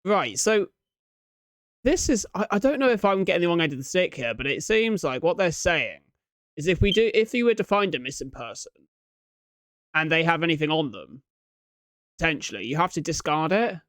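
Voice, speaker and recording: Clear-voiced man giving halting casual reactions. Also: on the high side, sing-song, a bit bright, dry recording. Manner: halting, thinking aloud. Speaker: reaction video host.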